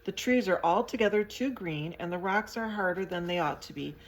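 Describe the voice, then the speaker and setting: A woman's voice: mid-range voice, animated, brisk, slight background noise, natural voice, rough recording. A woman voicing a fussy, pessimistic little man who complains and wails about everything. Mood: grumbling. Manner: whining, complaining, wailing.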